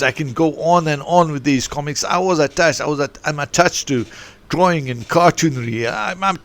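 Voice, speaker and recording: A man's voice: fairly high pitch, a bit bright, no echo. A man in his forties who grew up in Eswatini and works as a football coach, talking in a rambling, digressive way with many restarts. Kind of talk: rambling podcast monologue.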